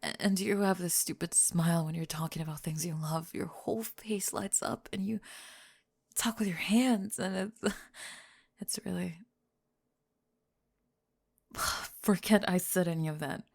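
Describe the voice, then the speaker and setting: A woman voicing a young goth bully girl in an ASMR roleplay, halting and defensive as she hides a crush. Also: lowish voice, darkish tone, pitched below the performer's own voice, heavily acted. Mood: grudgingly smitten. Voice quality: breathy. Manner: tsundere, defensive, halting, backtracking.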